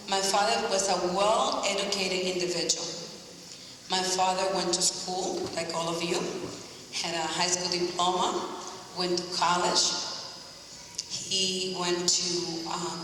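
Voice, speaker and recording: A woman's voice: on the low side, a bit bright. A woman in her forties from Rico, giving an earnest motivational speech to students and quizzing the audience. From Puerto Rico. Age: 40s. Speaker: guest speaker. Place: large school hall. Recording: echoey hall from far off, faint hiss.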